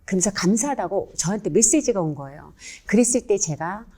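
A woman's voice: mid-range voice, animated, a little fast, mellow. A middle-aged or older woman, a painter, answering interview questions in an earnest, polite and reflective way.

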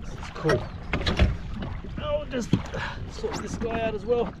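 An Australian man in his thirties talks over a high, lively voice as he fishes from a kayak, exclaiming often at each catch. He's recorded outdoors on an action camera, with loud wind and water noise all around. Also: takes it slowly. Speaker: fishing vlogger. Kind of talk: excited fishing commentary. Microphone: action camera on the boat.

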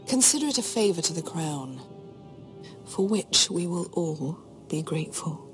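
An actress playing a cold, shrewd queen in a fantasy game, imperious and condescending in measured speech. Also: lowish voice, big pitch swings, soft background music. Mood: scheming. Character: cold, shrewd, commanding adult queen, veiled threats. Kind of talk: dramatic fantasy game acting.

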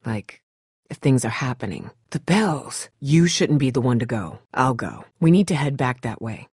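A woman playing a tough, blunt, protective young survivor in a video game, with a husky voice and a terse, urgent, sardonic delivery. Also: rumbling low, expressive, moderate pace, a little mellow, no echo, clean studio sound, exaggerated voice. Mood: tense, guarded. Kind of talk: dramatic game dialogue.

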